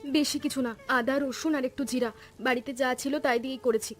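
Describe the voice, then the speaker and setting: A woman voicing a practical, caring village wife in a Bengali cartoon story, brisk and affectionately scolding. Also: high-pitched, steady tone, faint music behind.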